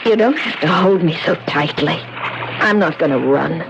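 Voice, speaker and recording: An actress playing a world-weary, remorseful waitress, pleading earnestly in 1970s radio drama style. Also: female voice, sits low, animated, moderate pace, creaky, heavy background noise. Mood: wary. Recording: muffled 1970s radio broadcast, music behind.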